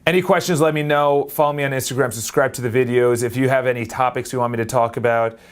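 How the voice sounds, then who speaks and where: Energetic young man rambling to his phone. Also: medium pitch, fast talker, clear, resonant tone. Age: 20s. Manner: energetic, rambling, self-correcting. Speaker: vlogger. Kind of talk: casual talk to camera. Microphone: phone camera.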